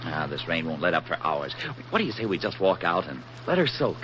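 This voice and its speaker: An affable young army private in a 1940s radio play, eager and folksy. His voice is animated and bright, coming through a muffled, noisy vintage recording. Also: deep voice, a little fast, full character voice. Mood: exuberant. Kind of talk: 1940s radio drama acting.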